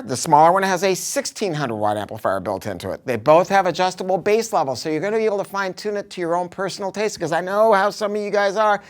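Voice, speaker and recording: A man's voice: high voice, fairly bright tone. A man giving a casual product review, talking informally and emphatically with some salty language.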